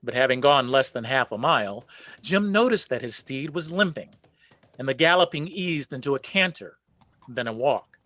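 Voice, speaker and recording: A man's voice: medium pitch, lively intonation, normal speed, a bit bright, close to an everyday voice. A man narrating a folk legend as the storyteller in a scripted radio play. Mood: storytelling.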